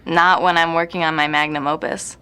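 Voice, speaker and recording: An actress playing an intense, abrasive composer with grand ideals, sardonic and casually profane, in a naturalistic audio drama. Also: female voice, low-pitched, level tone, fairly quick, pushed and bright, clear, resonant tone. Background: music playing in the room.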